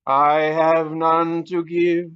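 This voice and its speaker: A man's voice: on the high side, level tone, very slow, clean tone, lo-fi sound. A man playing a doomed, solemn ghost who gives grave, declamatory warnings in an audio play. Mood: weary, solemn. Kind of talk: audio play acting of a classic ghost story.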